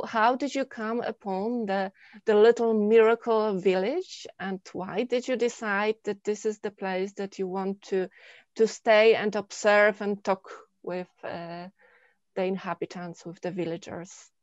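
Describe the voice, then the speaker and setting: A woman hosting an online festival panel in a casual, somewhat halting way, over a video call. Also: mid-range voice. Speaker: festival Q&A host. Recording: dull, roomy video-call sound.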